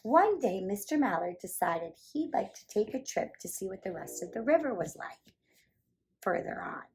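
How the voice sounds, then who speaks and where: A woman reads a picture book aloud for young children. Her voice sits at a medium pitch, and she tells the story in an animated, emphatic style. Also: moderate pace, slight background noise.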